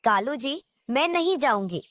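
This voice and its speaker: A woman's voice: high-pitched, animated, unhurried, a bit bright. A woman playing a sly, reluctant female crow, a thief's companion in a cartoon. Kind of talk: animated cartoon voice acting.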